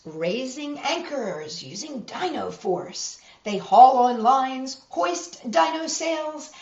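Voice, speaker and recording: A woman reading a picture book aloud to children in a measured, expressive way, with emphasized rhymes and friendly asides. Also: a little high. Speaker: storytime host reading picture books. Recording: roomy echo, slightly dull sound.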